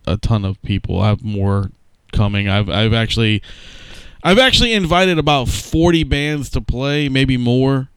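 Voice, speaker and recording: A man with a very clear, smooth voice in a dry, close recording. He talks as a podcast co-host, casual and jokey, swearing freely. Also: medium pitch, lively intonation, normal speed, no room sound. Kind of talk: casual comedic banter, profane.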